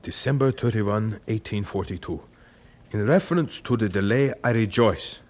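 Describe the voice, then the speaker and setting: An actor playing a devout, calm and patient orphanage founder, solemn and deliberate, in an old radio drama. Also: male voice, fairly low pitch, faint music behind, lightly acted. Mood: serene faith.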